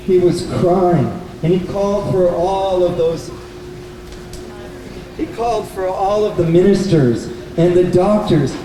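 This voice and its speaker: A man telling a children's story live to an audience, in simple, deliberate phrases. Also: high-pitched, mellow. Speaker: puppet show storyteller and presenter. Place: indoor bookstore event. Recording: very echoey, noisy hall, distant microphone.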